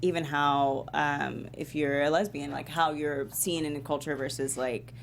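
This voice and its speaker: A woman on a casual panel, telling a story in an animated way with lots of "like". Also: low voice, moderate pace, creaky, a little hiss or hum.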